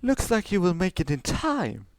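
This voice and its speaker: A man's voice: sits high, sing-song, normal speed, no room sound, voice pushed up. A man voicing an imperious, pushy female pony princess who commands and soothes with condescending sweetness in a fantasy story. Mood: pleased.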